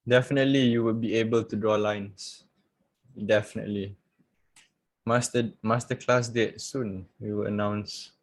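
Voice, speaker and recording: A man teaching trading on a live video call, chatty and relaxed, laughing and talking straight to his viewers. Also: fairly low pitch, unhurried. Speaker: trading coach. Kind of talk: casual live-stream trading lesson.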